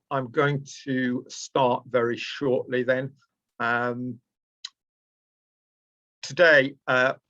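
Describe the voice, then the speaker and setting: A middle-aged man with a Lancashire accent hosts an online training webinar, pausing often with ums and uhs as he explains things in a plain, mid-pitched voice. The sound is dry and dull, like lo-fi video call audio from a webcam mic. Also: a bit bright, no echo. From Preston, Lancashire, England. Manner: hesitant, with frequent ums and uhs. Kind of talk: live online training presentation. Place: indoors, small room.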